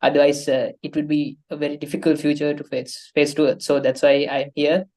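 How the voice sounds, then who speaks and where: A young man with a Sri Lankan accent, an undergraduate introducing himself on a panel, hesitant and pausing often. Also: fairly high pitch, level tone, darkish tone. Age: young adult. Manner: hesitant, many uh fillers. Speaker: student panelist.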